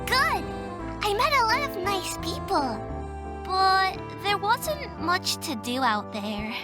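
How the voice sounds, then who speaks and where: A woman playing an excitable, mischievous fifteen-year-old girl in an audio drama, bubbly and quick to pout. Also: very high voice, over loud music, full character voice. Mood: excited. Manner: bubbly, pouty, quick to bicker.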